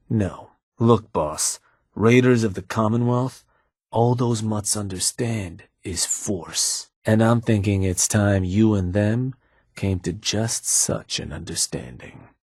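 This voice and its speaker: A scheming raider lieutenant in a game, measured and cool. The voice sits low with a husky grain. It is recorded dry and close, with no room sound. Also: male voice, darkish tone, no room sound, full character voice. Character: shrewd male raider gang lieutenant, calm. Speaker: game character. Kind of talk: game voice lines.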